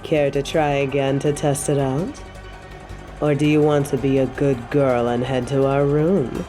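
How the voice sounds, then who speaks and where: A woman's voice: a bass voice, level tone, mellow, faint music behind. A woman playing a possessive, imperious evil queen in an audio roleplay, taunting and haughty. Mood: mocking, amused. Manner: slow, taunting, imperious, with breathy drops to a whisper. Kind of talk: scripted audio roleplay drama.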